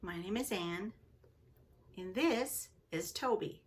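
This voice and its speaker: An older woman from England with a softened Liverpool accent, hosting a knitting podcast from home and chatting casually with the viewer. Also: medium pitch, animated, slow pace, background noise. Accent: Canadian-influenced English, originally from Liverpool. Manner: chatty, rambling, self-deprecating. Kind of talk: casual chat to camera. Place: home, seated indoors.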